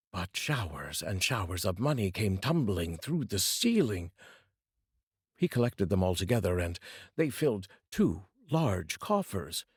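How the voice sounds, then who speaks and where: A man's voice: sits low, very animated, slow pace, husky, no room sound, clean studio sound. A man narrating a folk tale as a storyteller, reading aloud expressively.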